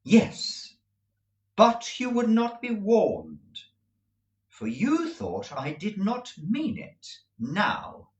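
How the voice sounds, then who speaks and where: A man reading aloud, voicing a cold, proud young woman who speaks calmly and without feeling. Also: sits high, highs cut off, pitched above the performer's own voice, full character voice. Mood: cold, measured. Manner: cool, measured, unmoved. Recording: roomy echo.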